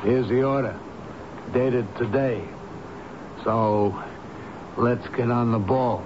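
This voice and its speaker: A man plays an impatient working-man electrician in a radio drama. He is blunt and offhand in a mid-pitched voice, on a dull, noisy old broadcast. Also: steady tone, takes it slowly, natural voice. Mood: impatient, brusque. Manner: brusque, offhand.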